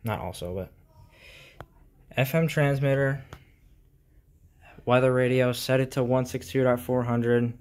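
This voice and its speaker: A man giving an informal tech tutorial in a halting, explanatory way, recorded on a handheld phone in a room at home. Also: medium pitch, steady tone, darkish tone, clear, resonant tone. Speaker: hobbyist video host. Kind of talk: casual tech tutorial vlog. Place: small indoor room at home.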